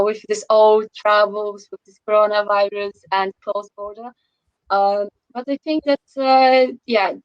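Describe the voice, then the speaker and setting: Woman panelist, middle-pitched voice, hesitant and searching for words. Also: low-quality recording. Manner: hesitant, with many fillers. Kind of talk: online panel discussion.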